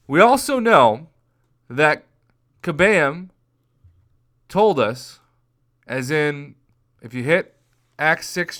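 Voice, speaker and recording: A man in his thirties with a hoarse voice, talking casually to his viewers and working through game prices out loud with animated emphasis. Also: medium pitch. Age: 30s. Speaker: mobile game YouTuber. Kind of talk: casual video commentary.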